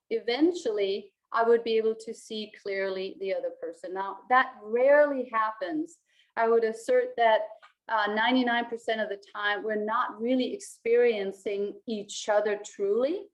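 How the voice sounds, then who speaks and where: A woman, teaching to camera from a small room in a calm, reflective way. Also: medium pitch, low-quality recording. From Denmark. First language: Danish. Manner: calm, reflective, gestures a lot with her hands. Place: small indoor room with a plain wall.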